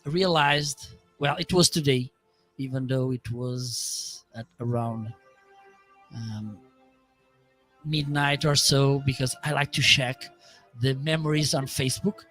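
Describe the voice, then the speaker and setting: Portuguese-accented male host, slow, bright, laughs a lot, faint music bed. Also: mid-range voice. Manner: laughs often, playful and animated. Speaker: live stream host. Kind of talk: live stream chat.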